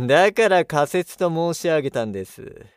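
A man's voice: medium pitch, expressive, moderate pace, gravelly, no echo, studio quality. An actor playing a polite, analytical male technician in an audio drama, explaining patiently in formal speech. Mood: knowing. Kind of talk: Japanese audio drama acting.

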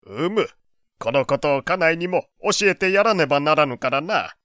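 An actor playing a genial, slightly pompous old gentleman with old-fashioned, avuncular speech in an audio drama. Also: on the high side, expressive, moderate pace, no echo, studio quality. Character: genial old gentleman, pompous but kindly family man. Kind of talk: Japanese audio drama acting.

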